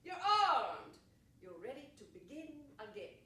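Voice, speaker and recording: A woman's voice: high-pitched, very animated, measured pace, pushed and bright, full character voice. A woman on stage playing an eccentric, grandly theatrical old lady, effusive, rambling and giggly in her delivery. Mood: whimsical, grand. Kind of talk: live stage play acting. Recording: distant, echoey, noisy stage recording.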